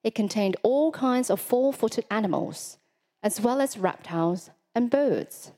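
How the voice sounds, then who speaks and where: A woman reading scripture aloud in church in a measured, formal way. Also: on the high side, animated, mellow. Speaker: scripture reader.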